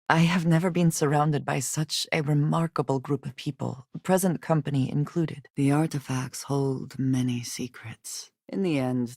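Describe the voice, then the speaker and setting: An actress playing a guarded, solemn woman companion in a space adventure video game, speaking in formal, measured phrases. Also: deep voice, a little mellow, dry recording, clean studio sound. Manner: formal, measured speech without contractions. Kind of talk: scripted video game voice acting.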